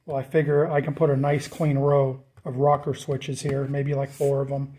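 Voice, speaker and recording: A man giving a casual, off-the-cuff walkthrough of a DIY project, informal and thinking out loud as he goes. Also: medium pitch, level tone, normal speed. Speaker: DIY vlogger presenting a build.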